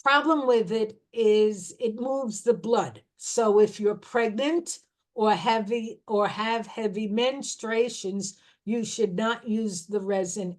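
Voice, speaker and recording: A middle-aged woman talking informally about herbal remedies, explaining slowly and restarting her sentences as she goes. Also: medium pitch. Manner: slow, unhurried explaining with restarts. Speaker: herbal educator.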